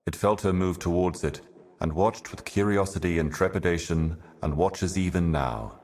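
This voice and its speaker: An ancient otherworldly herald of doom with an extremely low male voice. The delivery is solemn and measured, like formal oratory. It is a theatrical fantasy video game monologue, cryptic and portentous. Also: darkish tone, a little hiss or hum. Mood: ominous awe.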